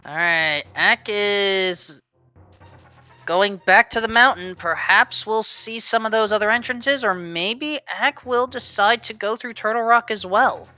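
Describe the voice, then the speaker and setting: A woman commentating a video game race with a bright, clear tone and a middle-pitched voice. She talks casually and playfully, explaining game logic as she goes. Faint music sits under her voice. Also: a little slow. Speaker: speedrun commentator.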